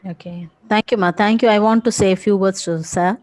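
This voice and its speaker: A woman's voice: medium pitch, fairly even intonation, a little fast, a little mellow, no echo. A woman from India coordinating a webinar, giving an earnest formal vote of thanks. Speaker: event coordinator.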